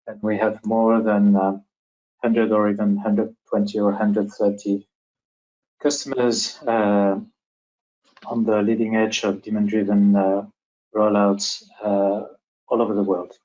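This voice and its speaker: A man with a French accent presenting a business webinar as moderator, speaking haltingly with repeated words, on dull webinar audio. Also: lowish voice, level tone, mellow. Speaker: webinar moderator and company executive.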